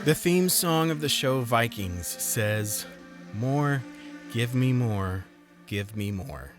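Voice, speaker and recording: A man narrating a scripted comedic game review in a wry, sarcastic way, jumping high in pitch for his jokes. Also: animated, slow pace. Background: music.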